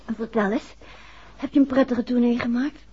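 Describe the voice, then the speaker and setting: An actress in a 1960s Dutch radio play playing a detective's dazed, fragile and affectionate wife, speaking haltingly. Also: female voice, on the high side, steady tone, dark tone, husky. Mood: tender, reassuring. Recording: dull, noisy old radio recording.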